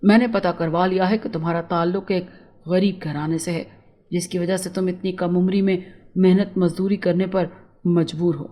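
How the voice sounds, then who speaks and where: A woman voicing a kindly, authoritative male judge in a storybook tale, speaking in formal, measured pronouncements. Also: lowish voice, steady tone, warm tone, pitched below the performer's own voice, natural voice. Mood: solemn, approving. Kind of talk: storybook character dialogue.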